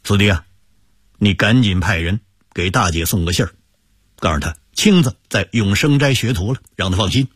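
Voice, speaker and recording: Male narrator as kindly shopkeeper; deep, husky, hushed and coaxing; dry close sound. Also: expressive, normal speed, pauses often, dry recording, full character voice. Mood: soothing. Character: kindly middle-aged male shop owner, soothing.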